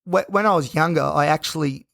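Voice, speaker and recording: Man, croaky hoarse voice, gravelly, fairly high-pitched, dry close sound, rambling solo podcast talk. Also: brisk, a bit bright, no room sound. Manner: rambling, tired, self-reflective. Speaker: podcast host.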